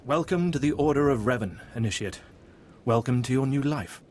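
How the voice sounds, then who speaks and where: A man playing a devout, guarded cult mentor in a video game, speaking in a formal, reverent, measured way. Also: medium pitch, lively intonation, darkish tone, slight background noise, close to an everyday voice. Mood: solemn, reverent. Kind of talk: scripted video game voice acting.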